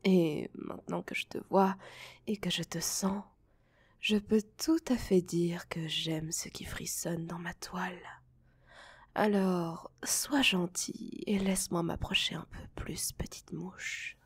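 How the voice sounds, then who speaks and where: A woman voicing a seductive, possessive spider-woman monster in an ASMR roleplay, coaxing softly and often whispering. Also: fairly low pitch, fairly even intonation, no room sound, full character voice. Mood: sly, seductive. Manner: breathy whispering, slow coaxing delivery.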